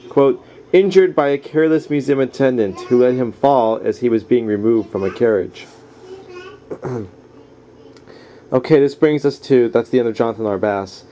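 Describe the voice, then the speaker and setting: Male reader, casual and unpolished, mid-pitched, narrating nonfiction with offhand asides on a home camera, faint noise behind. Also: warm tone. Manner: casual, easygoing, digressing into asides. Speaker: author reading own book aloud. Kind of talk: informal read-aloud of a nonfiction book with casual asides. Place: at home, household around. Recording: noisy home camera recording.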